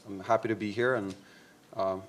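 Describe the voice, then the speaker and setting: A man from Norway speaks to a conference audience, introducing his company in a somewhat low voice. His talk is upbeat but hesitant, with many fillers between phrases. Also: steady tone, normal speed, a little hiss or hum. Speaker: startup CEO panelist. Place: conference room.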